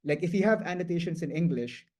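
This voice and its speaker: A man whose first language is Tagalog, giving a guest lecture in a loose, conversational way, often restarting phrases and filling pauses with "um" and "like". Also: on the high side, animated, warm tone. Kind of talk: explanatory lecture.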